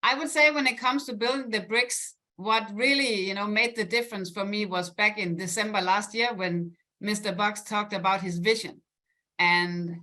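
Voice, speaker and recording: A woman's voice: mid-range voice, moderate pace, bright, forceful tone. A woman from Denmark giving an upbeat presentation on a business call, with frequent fillers and light laughter. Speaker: guest presenter.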